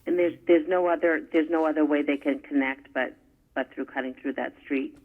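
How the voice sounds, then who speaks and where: A man's voice: fairly high pitch, steady tone, a little fast. A man on the board, calling in and asking hesitant questions, repeating words as he goes. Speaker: board commissioner. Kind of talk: questions at a public meeting. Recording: tinny phone-like line.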